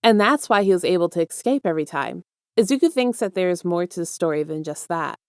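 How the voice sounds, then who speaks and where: Low-voiced woman narrating a story. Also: lively intonation, fast, clear, resonant tone, no echo, clean studio sound. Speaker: podfic narrator.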